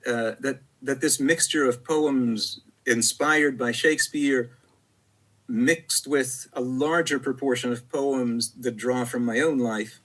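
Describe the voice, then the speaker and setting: Male poet guest, husky voice, mid pitch, halting and thoughtful, full of repeats. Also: a bit bright, poor audio. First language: Italian. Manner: halting, many fillers and repeated words. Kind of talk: reflective conversational interview.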